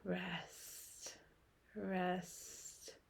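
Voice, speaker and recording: A woman leading a guided meditation in a soft, hushed voice that trails toward a whisper, with long pauses between short phrases. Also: fairly low pitch, flat delivery, gravelly, breathy edge.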